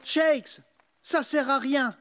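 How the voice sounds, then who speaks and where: An actor in a French cartoon dub playing an excitable young male footballer, anxious but determined and given to exclaiming. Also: very high voice, takes it slowly, full character voice. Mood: urgent, excited. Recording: music behind.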